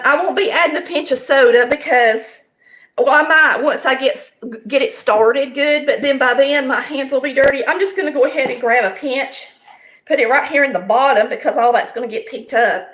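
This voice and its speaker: Raspy Southern woman. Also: high voice, normal speed, a bit bright. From American South. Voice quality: raspy, hoarse voice. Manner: folksy, chatty, explains step by step. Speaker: home cooking show host. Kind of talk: casual cooking demonstration. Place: home kitchen.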